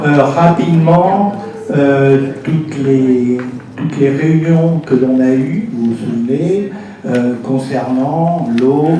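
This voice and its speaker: A man presents informally to a local council as its president, explaining things with frequent pauses and tag words. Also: mid-range voice, dark tone. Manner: explanatory, often pausing and adding "hein" as a tag. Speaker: intercommunal council president addressing a meeting. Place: indoor council meeting room. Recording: echoey room, distant mic, faint noise.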